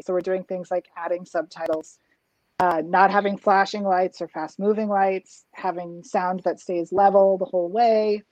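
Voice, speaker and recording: A woman's voice: mid-range voice, mellow, no room sound. Dull lo-fi voice of a reflective woman. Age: 30s. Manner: reflective, frequent fillers and restarts. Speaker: drag king performer, guest. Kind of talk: casual interview chat.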